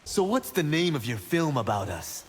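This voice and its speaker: A man's voice: fairly high pitch, big pitch swings, moderate pace, breathy edge, long reverb tail. A man playing a proud, eager young martial arts monk who boasts with self-assurance in video game banter. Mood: casual.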